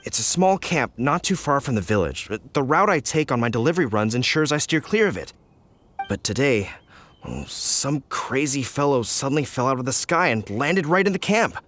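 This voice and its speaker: A man playing a flustered, indignant fruit cart driver in a game, complaining in an excitable way. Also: medium pitch, normal speed, a little hiss or hum. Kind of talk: game voice acting. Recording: game audio with faint music.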